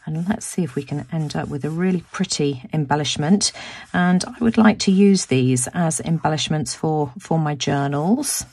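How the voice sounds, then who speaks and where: A woman with a croaky voice talking through a craft demonstration at her table, thinking aloud as she works and often repeating words. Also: low voice, moderate pace, a little mellow, no room sound. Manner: chatty, thinking aloud with restarts. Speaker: craft video host. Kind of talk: casual craft tutorial narration. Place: home craft room, tabletop.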